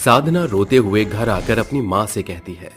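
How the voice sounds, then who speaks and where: A man tells a cartoon horror story as narrator. His voice sits low. He narrates with dramatic steadiness. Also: expressive, moderate pace, soft background music.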